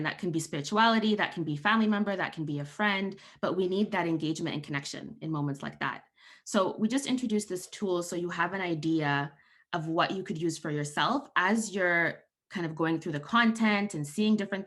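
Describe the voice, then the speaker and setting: A woman counsellor hosting an online panel, explaining coping tools in a calm, reassuring way over a video call. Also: lowish voice. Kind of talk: gentle psychoeducation talk.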